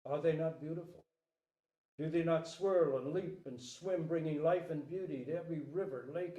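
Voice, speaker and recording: A man's voice: fairly high pitch, darkish tone. A man playing a solemn, pleading deer king, roomy. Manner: solemn, formal, rhetorical appeals. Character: noble, compassionate male deer king, gently pleading. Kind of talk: dramatic storybook reading. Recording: roomy echo, distant microphone.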